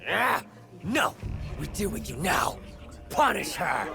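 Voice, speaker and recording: A man playing a rough, hot-tempered thug in a video game, loud, blustering and insulting. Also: high-pitched, big pitch swings, slow pace, exaggerated voice. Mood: angry, accusing. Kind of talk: dramatic game voice acting. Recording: loud music behind.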